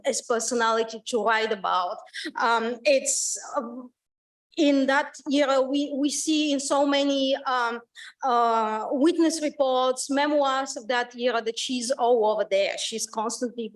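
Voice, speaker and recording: A middle-aged woman with a Bulgarian accent giving a public lecture, chatty and full of fillers and lively asides. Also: high voice. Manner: conversational, many fillers, animated asides. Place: lecture room. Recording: voice from far off the mic.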